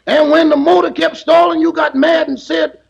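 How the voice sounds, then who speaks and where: Wisecracking male valet, extremely high and very gravelly, drawling delivery. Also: flat delivery, moderate pace, muffled recording. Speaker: comic valet. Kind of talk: 1930s radio comedy.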